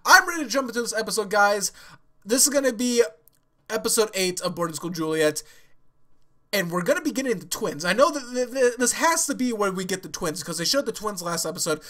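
A young man hosts his reaction video from a small bedroom, speaking into a headset. His voice sits high and bright, though a stuffy nose and sore throat muffle it. He rambles casually, laughing and restarting his sentences. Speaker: anime reaction host. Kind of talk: casual chat to camera. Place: small bedroom with yellow walls.

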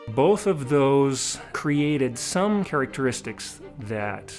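A middle-aged man, an engineering director, explaining technical work in a measured, formal way for a documentary interview. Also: medium pitch, very animated. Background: background music.

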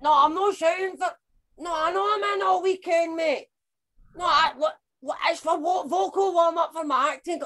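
A woman's voice: medium pitch, animated, moderate pace, a bit bright. An actress playing a chatty, blunt, sweary Glaswegian woman with slangy matey talk in an audio comedy. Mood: irritated, shouting. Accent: Glaswegian Scottish.

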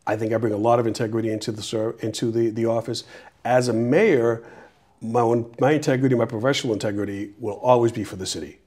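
A middle-aged man from Peru, a mayoral candidate answering interview questions in a small TV studio on a lapel mic, earnest and halting with restarts. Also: medium pitch, fairly even intonation, warm tone.

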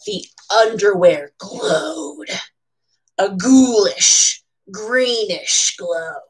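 Animated young woman reading a picture book. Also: medium pitch, extremely slow, rough recording. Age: young adult. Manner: playfully dramatic. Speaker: bookseller reading at storytime. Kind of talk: expressive children's picture-book read-aloud with chatty asides. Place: small room at home.

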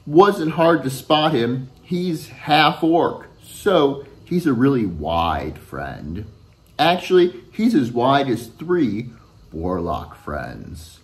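A middle-aged man, an author reading his children's novel aloud to camera in an expressive, deliberate storytelling manner. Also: mid-range voice. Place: furnished room at home, indoors.

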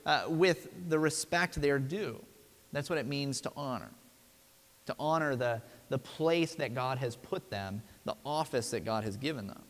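Conversational young pastor in a reverberant church. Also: male voice, on the high side, fairly bright tone. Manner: conversational, frequent tag "right?". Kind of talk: sermon. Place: church sanctuary.

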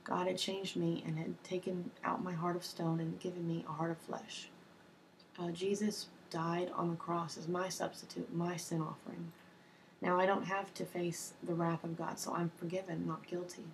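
A woman in her twenties with a hoarse voice from a cold, reading softly in an ASMR video at her desk. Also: lowish voice, slight background noise, low-quality recording. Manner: soft-spoken, slow and even, with ums and uhs. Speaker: ASMR creator. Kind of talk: soft-spoken ASMR reading of a personal testimony. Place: small home office indoors.

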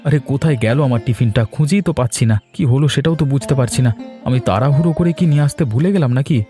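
A man acts out a meek, sleepy young husband in a cartoon. His mellow voice hurries along, flustered and deferential. Light music plays behind. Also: medium pitch, racing, natural voice. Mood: flustered, dejected. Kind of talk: cartoon fairy-tale voice acting.

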